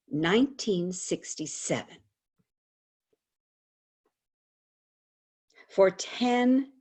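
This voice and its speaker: An actress playing a frank, patriotic woman advice columnist in a theatrical monologue, confiding and dramatic with deliberate pauses. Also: mid-range voice. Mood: solemn, deliberate. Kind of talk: theatrical monologue, staged reading. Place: indoors, remote video call. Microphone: video call microphone.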